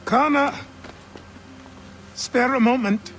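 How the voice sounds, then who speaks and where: A man's voice: extremely high pitch, fairly even intonation, slow pace, a bit bright, faint music behind, exaggerated voice. A man voicing a cautious, dryly exasperated elderly mentor in a video game, giving instruction and warnings. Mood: instructive. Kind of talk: video game character dialogue.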